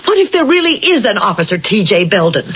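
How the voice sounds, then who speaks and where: An actress playing an anxious, insistent wife haunted by foreboding, in an earnest, emphatic 1980 radio drama style. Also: female voice, medium pitch, sing-song, quick pace, fairly bright tone, a little hiss or hum. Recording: dull lo-fi old radio recording.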